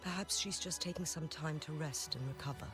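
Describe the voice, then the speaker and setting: An actor voicing an earnest young male official in a fantasy video game, giving a measured report. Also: on the high side, lightly acted. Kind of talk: scripted video game dialogue. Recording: game music clearly behind voice.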